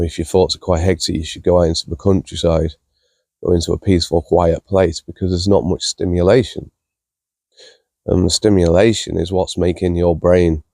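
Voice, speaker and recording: Man, croaky and unwell, very deep gravelly voice, hesitant, dry close sound. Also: warm tone, dry recording. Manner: hesitant, many fillers and restarts. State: ill, croaky voice. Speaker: spiritual vlogger. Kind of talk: casual reflective explanation.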